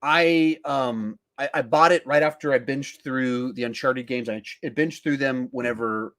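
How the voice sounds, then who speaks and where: A man hosting a podcast in casual discussion, acting out his reactions in animated asides. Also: mid-range voice, moderate pace. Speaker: podcast host.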